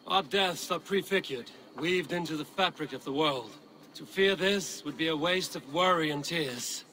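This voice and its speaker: An actor playing a proud, skeptical Viking warrior in a video game, with a dry, wry delivery and old-fashioned phrasing. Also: male voice, a little high, normal speed, bright, forceful tone, rough recording. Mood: resolute. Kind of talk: video game cutscene acting.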